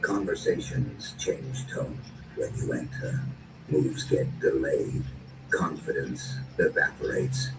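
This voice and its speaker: A man performing dark, dramatic spoken-word narration in short, deliberate and menacing phrases, as a narrator. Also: a bass voice, lively intonation, gravelly, echoey room. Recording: distant, noisy lo-fi recording.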